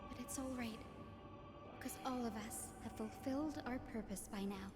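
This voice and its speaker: An actress as a gentle young woman, echoing voice. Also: a little high, normal speed, natural voice. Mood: wistful, serene. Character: gentle young woman, serene, selfless and hopeful. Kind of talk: video game voice acting. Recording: echoey long reverb, music over the voice.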